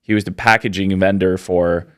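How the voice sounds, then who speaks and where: Podcast guest: man in his thirties from Poland, very low and smooth voice, dry close sound, easygoing and rambling. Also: moderate pace, no echo. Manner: relaxed, rambling, many fillers. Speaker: podcast guest, business owner. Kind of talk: casual interview answers.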